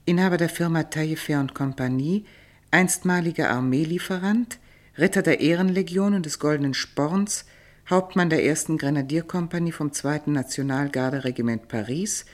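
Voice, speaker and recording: An actress playing a worldly, wry confidante who speaks with knowing formality in a radio drama. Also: female voice, sits low, close to an everyday voice. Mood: formal reading. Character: worldly, wry female confidante giving frank advice. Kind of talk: German radio drama acting.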